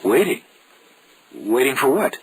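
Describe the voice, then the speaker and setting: An actor playing a skeptical, stubborn American husband in a 1940s radio drama, with an earnest, clipped, theatrical delivery and an American accent. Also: male voice, medium pitch, very animated, unhurried, vocal fry, breathy edge, full character voice. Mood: skeptical, insistent. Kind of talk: 1940s radio drama acting and first-person narration. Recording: noisy, hissy 1940s radio recording.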